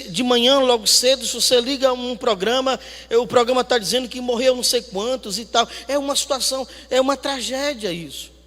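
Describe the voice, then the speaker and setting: A man's voice: very high-pitched, a bit bright. A man preaching as a pastor, emphatic and repeating phrases for weight. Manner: emphatic, repeats phrases for emphasis, swings between conversational and declamatory. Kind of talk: sermon. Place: church hall. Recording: echoey hall with long reverb.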